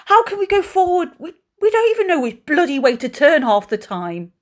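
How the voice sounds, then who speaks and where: Actress as a high-voiced, anguished woman. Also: sing-song, brisk. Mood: frustrated. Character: anguished woman turning down a lover. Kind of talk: theatrical dramatic monologue.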